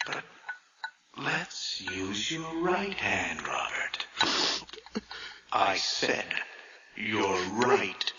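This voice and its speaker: Vengeful ghost brother, possessive, whispering, lowish voice, radio horror, distant and echoey. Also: male voice, big pitch swings, exaggerated voice. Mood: gloating, commanding. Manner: sinister, breathy whispering and slow taunting. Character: vengeful spirit of a dead twin brother, taunting, possessive. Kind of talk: radio horror drama acting. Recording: dull, echoey, faintly noisy old radio broadcast.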